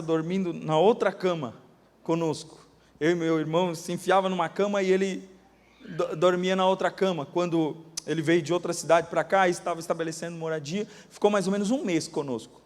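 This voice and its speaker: A male pastor with a Brazilian Portuguese accent, preaching a sermon and telling testimonies dramatically, swinging between loud bursts and hushed asides. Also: high-pitched, in a large hall. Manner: dramatic storytelling, acting out quoted voices, swinging from loud to hushed. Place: church.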